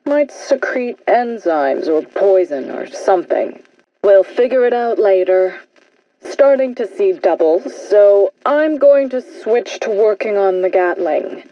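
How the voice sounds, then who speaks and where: Slow, swooping, distracted lab researcher. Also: female voice, medium pitch, lively intonation, no room sound, lo-fi sound. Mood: absorbed, offhand. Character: distracted, unbothered woman lab researcher muttering over work. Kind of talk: video game character dialogue.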